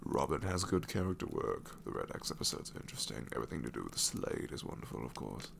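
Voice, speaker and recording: Guy doing a snarky shadow demon dad, super deep voice, swoops all over the place, asmr roleplay. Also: very animated, quick pace, gravelly, a little hiss or hum, full character voice. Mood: sassy. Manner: snarky, rambling, soft-spoken with whispered asides. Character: sassy male shadow demon, a father from hell.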